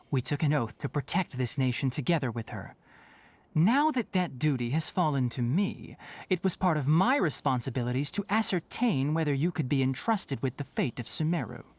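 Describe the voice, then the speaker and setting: A young man playing a composed, scholarly forest ranger in a video game, articulate, measured and matter-of-fact. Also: on the high side, sing-song. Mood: earnest, formal.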